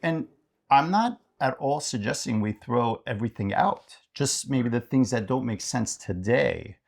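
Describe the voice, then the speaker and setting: A man co-hosting a podcast as an interviewed author, talking in a casual, reflective way and haltingly thinking aloud. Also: mid-range voice, lively intonation, gravelly.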